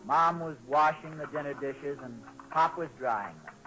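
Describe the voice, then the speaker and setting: A man's voice: a little high, moderate pace, music in the background, lightly acted. A man playing a hopeful, anxious young father in a 1940s radio sitcom, speaking in a polite, mild, slightly hesitant way. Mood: fond, nostalgic. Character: hopeful, anxious young father of small boys, storytelling dad. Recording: noisy vintage 1940s radio recording.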